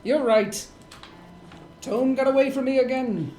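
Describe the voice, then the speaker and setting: A woman playing a wry, weary male private detective in a noir parody, speaking in a dry deadpan. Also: high voice, measured pace, slight background noise. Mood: deadpan, skeptical. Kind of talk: noir parody dialogue and narration. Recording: roomy echo, faint music underneath.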